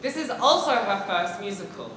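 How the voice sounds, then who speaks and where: A woman playing a harried high school musical director in a stage comedy, insistently keeping order. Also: expressive, normal speed, natural voice. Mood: brisk. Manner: insistent, trying to keep order. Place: large theater stage, filmed from the audience. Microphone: far camera. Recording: echoey auditorium, faint noise.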